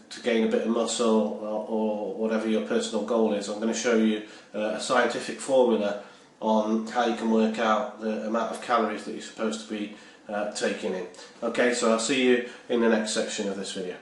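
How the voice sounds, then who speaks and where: A young man vlogging about fitness, his voice a little nasal from a cold and his throat sore, upbeat and motivational, with many ums and uhs, slowing down to spell out figures. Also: fairly low pitch, little pitch movement, husky. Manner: slow and careful when reading out numbers. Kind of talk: casual vlog talk and explainer.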